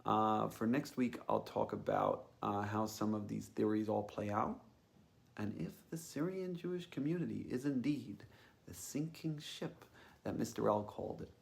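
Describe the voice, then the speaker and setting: A man's voice: lowish voice, moderate pace, vocal fry. A man giving a reflective personal monologue as a host, musing tentatively and thinking aloud. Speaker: podcast host.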